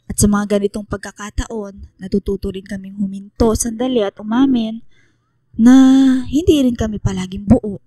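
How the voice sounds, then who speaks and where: A woman plays a tired, motherly teacher in a radio drama. Her voice is mellow and medium in pitch, with a gentle, measured delivery. The recording is dry. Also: steady tone, dry recording, close to an everyday voice. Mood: weary, gentle.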